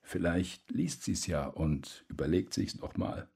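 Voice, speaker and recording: A middle-aged war veteran in a radio drama, wistful and wry. The voice is deep, extremely husky and breathy, kept hushed. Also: male voice, expressive, a little slow, heavily acted. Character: weary middle-aged war veteran, self-mocking, wistful old friend. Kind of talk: German radio drama dialogue.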